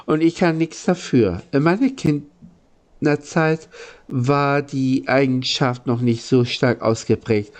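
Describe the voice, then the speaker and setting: A man voicing a gentle, lonely old giant from a storybook, courteous and earnest, with halting phrasing. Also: mid-range voice, natural voice. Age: older.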